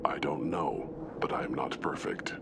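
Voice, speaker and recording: A rumbling, newborn alien brute. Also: male voice, fairly even intonation, very noisy. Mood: resigned, plain. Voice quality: very deep, gravelly, breathy growl. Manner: halting, simple, broken phrases. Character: week-old tank-bred reptilian alien brute, solemn, childlike, resolute. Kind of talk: dramatic video game acting.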